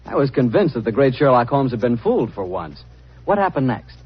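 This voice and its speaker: Animated husky male radio announcer. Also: mid-range voice, a little fast. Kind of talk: 1940s radio sponsor pitch and host chat. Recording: muffled 1940s radio recording.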